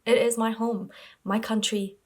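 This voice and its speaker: A woman's voice: on the high side, flat delivery, measured pace, a little mellow. A young woman from Iraq chatting casually about books she read, gushing and repeating words like "really" and "very". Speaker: book vlogger. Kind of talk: casual book review chat.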